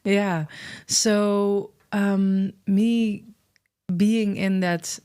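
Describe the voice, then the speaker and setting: A woman's voice: mid-range voice, fairly even intonation, very slow, dark tone, clear, resonant tone. A middle-aged woman with a Dutch accent, openly and reflectively telling her life story as an interview guest. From Netherlands. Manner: open, reflective storytelling with fillers. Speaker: guest, founder of a travel business. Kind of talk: candid interview conversation.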